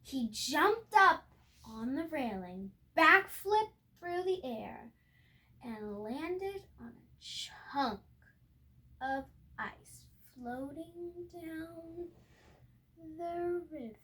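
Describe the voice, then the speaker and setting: A woman's voice: high-pitched, lively intonation, drawn-out words, sharp tone. A young girl reads a picture book aloud expressively, stumbling now and then and correcting herself, with asides to viewers. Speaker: storybook reader.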